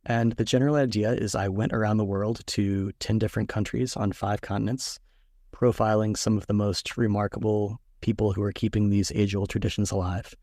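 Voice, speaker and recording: A man in his thirties with a fairly low voice recounts his travels as an author guest. He talks easily and loosely, pausing on ums. The sound is dry with no room echo. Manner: relaxed, storytelling with ums. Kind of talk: interview answers.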